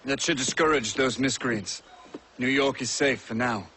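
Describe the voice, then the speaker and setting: A man's voice: medium pitch, noisy background, natural voice. A man playing a formal, stern army colonel. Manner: measured and formal. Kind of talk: video game cutscene acting.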